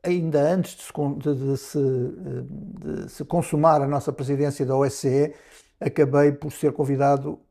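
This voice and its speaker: A man in his sixties from Lisbon with a European Portuguese accent, answering interview questions about his diplomatic career in a measured way, often pausing and restarting. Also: mid-range voice, fairly even intonation, vocal fry, warm tone. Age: 60s. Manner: measured, with frequent hesitations and restarts. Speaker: ambassador, interview guest.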